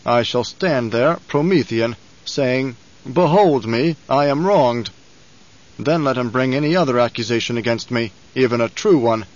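A male reader acts out a scheming aristocratic villain from an audiobook. He is self-important and haughty, leaning on his words with deliberate, menacing emphasis. The recording is a little dull and lo-fi. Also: medium pitch, close to an everyday voice. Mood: defiant, grandiose.

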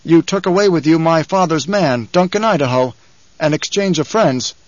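A man voicing a bold, self-possessed and quick-witted noble boy in an audiobook, speaking directly and demandingly. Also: fairly high pitch, dry recording, muffled recording, close to an everyday voice. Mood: bold, assured. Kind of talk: audiobook character acting.

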